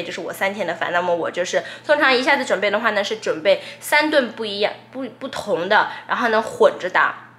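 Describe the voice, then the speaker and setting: A young woman vlogs from her home kitchen, chatting at a brisk clip with a bright, clear tone. She laughs easily and fills her talk with little fillers. Soft room ambience sits faintly behind her. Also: medium pitch, a little hiss or hum. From Canada. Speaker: nurse vlogger. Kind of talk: casual vlog talk to camera. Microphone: camera held at arm's length.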